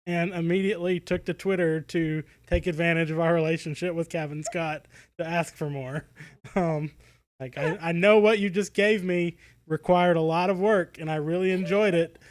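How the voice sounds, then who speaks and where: A man co-hosting a podcast in a casual review chat, thinking aloud in halting phrases peppered with "like". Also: on the high side, steady tone. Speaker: podcast co-host.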